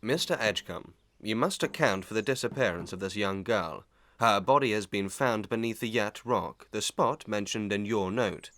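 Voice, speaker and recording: Man acting a lowish-voiced, matter-of-fact inquirer. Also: normal speed, hardly any pauses, fairly bright tone, dry recording. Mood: stern questioning. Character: plain-spoken male inquirer in authority, drily comic. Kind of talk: Victorian melodrama audio acting.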